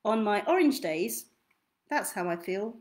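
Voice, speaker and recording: A young woman reading picture books aloud to children in an expressive, theatrical way, drawing out key words. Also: mid-range voice. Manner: theatrical, deliberate, drawn-out delivery of key words. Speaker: children's storytime reader. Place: small indoor room at home.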